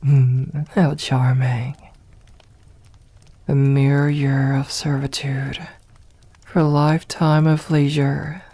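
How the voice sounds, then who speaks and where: Slow, breathy vampire mistress, played by a woman. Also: rumbling low, flat delivery, full character voice. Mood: amused, condescending. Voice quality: breathy, soft, often whispered. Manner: slow, deliberate, condescending, formal old-fashioned diction. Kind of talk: ASMR roleplay, scripted acting.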